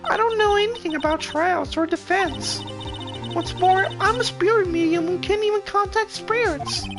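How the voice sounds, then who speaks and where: Lady putting on a squeaky young girl sidekick from a video game, anxious, earnest, music behind. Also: moderate pace. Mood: dejected. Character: young female spirit medium assistant, girlish, anxious, self-doubting. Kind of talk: video game dialogue voice-over.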